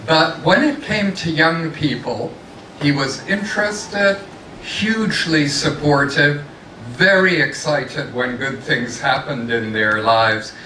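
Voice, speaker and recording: A man speaks slowly from the floor of a large conference room, loud and without a microphone. His voice comes across bright and forceful. It reaches the recording from across an echoey room, with faint background noise. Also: on the high side, far from the mic. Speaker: former institute president, guest speaker.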